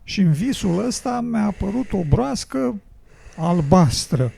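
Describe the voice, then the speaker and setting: A man plays a worn-out, ailing road builder telling his story in a radio drama. His voice is high for a man, extremely creaky and rough with husk. He sounds plaintive and musing. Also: very animated, mellow, full character voice. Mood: reflective narrating. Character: weary, ailing male road builder, scornful of nature. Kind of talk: educational radio drama monologue.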